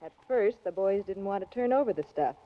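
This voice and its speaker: An actress playing a greedy, scheming femme fatale, cool and coaxing, in 1940s radio drama style. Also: female voice, mid-range voice, animated, a little fast, lightly acted. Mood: cool, defiant. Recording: muffled 1940s radio recording.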